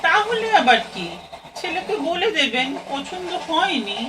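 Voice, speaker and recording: A woman's voice: extremely high pitch, big pitch swings, measured pace, heavily acted. A woman playing a friendly young train passenger in an audio play, direct and inquisitive in her manner. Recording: slightly distant from microphone.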